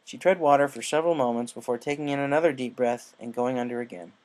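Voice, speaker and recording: A man's voice: mid-range voice, fast, darkish tone, rough recording. A man narrating a written story, reading from the text as a storyteller.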